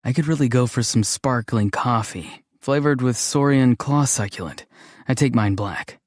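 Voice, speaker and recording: An actor voicing a dreamy, awkward, gentle young man in a fantasy game, musing and hesitant in a deadpan way. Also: mid-range voice, moderate pace, dry recording, studio quality, natural voice. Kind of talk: scripted game character voice lines.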